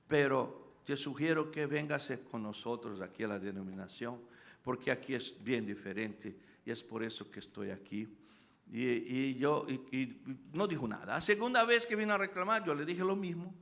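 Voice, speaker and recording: Un pastor de origen brasileño, con acento brasileño al hablar español, predica un sermón. Alterna relatos en voz baja con exhortaciones gritadas a la congregación. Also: male voice, mid-range voice, creaky. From Brazil. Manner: swings from quiet storytelling to loud shouted preaching, calls out to the congregation. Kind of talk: sermon. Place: church sanctuary.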